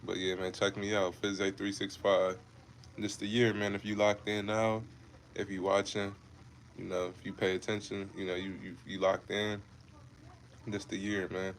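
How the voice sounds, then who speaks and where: A man's voice: lowish voice, level tone, normal speed, slight background noise, rough recording. A man in his twenties from Ohio, chatting casually with viewers on a fitness livestream, laid-back and repetitive, calling them bro and man. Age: 20s. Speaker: fitness creator hosting a livestream.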